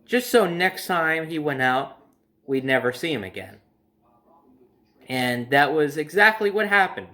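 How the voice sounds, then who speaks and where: A man's voice: on the high side, lively intonation, a little slow, pauses often, bright, forceful tone. A young adult man casually telling a personal story to camera in a conversational, emphatic way. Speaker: storyteller and host. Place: indoor room by a window at home.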